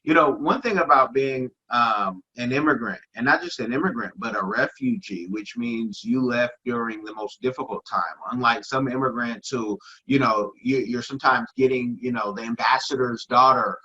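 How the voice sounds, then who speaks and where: A man's voice: medium pitch, normal speed, few pauses, sharp tone, slightly rough. A man hosting a podcast, asking long, rambling questions with many restarts. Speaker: podcast host. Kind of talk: casual interview questions. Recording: dull lo-fi recording.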